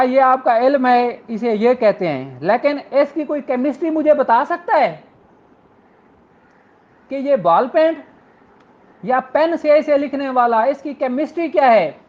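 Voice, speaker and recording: A man's voice: very high-pitched, highs cut off. A man with a Pakistani accent lecturing law students in an earnest, rhetorical way, repeating phrases for emphasis.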